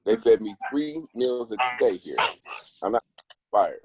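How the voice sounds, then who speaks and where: Man acting long-distance boyfriend, low voice, conversational, self-absorbed, busy, table read. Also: lively intonation, unhurried, warm tone, slight background noise. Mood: casual, self-absorbed.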